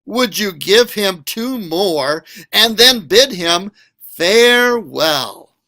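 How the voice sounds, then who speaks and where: A man reading a children's picture book aloud as a school librarian, expressive and questioning, stretching out words for young listeners. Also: sits high, very slow.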